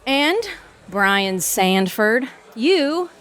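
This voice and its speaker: A woman's voice: on the high side, very animated, very slow, a bit bright, full character voice. A woman playing an arrogant, calculating lawyer in an audio drama, articulate, condescending and self-assured. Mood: smug, calculating. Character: arrogant, calculating Harvard-trained female lawyer.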